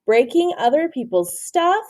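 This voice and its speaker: A woman teacher gives an expressive read-aloud of a children's story. Her pitch is lively and moves freely. She stops to ask questions and explain things simply. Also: unhurried.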